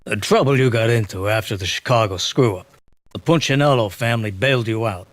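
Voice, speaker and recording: A man playing a mafia boss who speaks a letter in a formal, measured, threatening way. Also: on the low side, no room sound, lightly acted. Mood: coolly menacing.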